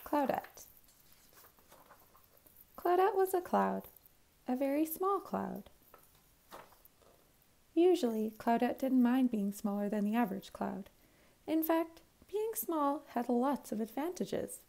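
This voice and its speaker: A woman reads a picture book aloud for young children. Her voice sits a little high yet has a soft, mellow tone with noticeable creak. She phrases the story clearly and expressively, like a teacher at story time. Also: animated, slow, long pauses. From Canada. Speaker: primary school teacher reading aloud.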